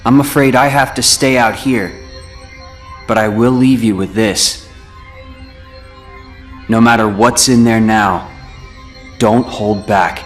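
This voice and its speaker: A calm, wry young man from a video game, speaking in a somewhat low voice. He talks in a measured, dry and serious way. Soft game music sits behind him. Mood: urgent. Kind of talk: video game cutscene acting. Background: faint game music.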